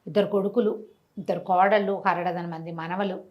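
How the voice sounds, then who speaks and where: A woman telling a moral story conversationally, with animated delivery, rhetorical questions and everyday examples. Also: fairly low pitch, brisk, highs cut off. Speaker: storyteller, interview guest.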